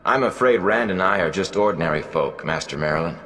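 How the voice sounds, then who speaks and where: A man's voice: low voice, expressive, fairly quick, a bit bright, big-room sound. A man voicing a big, modest young village man answering with good humor. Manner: modest, joking.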